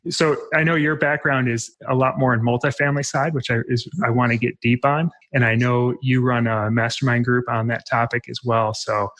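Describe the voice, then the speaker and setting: A man's voice: medium pitch, fairly even intonation, moderate pace, hardly any pauses, fairly bright tone. A man in his thirties hosting a podcast, asking friendly, loosely phrased questions with plenty of fillers. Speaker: podcast host. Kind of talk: scripted intro, then casual interview chat.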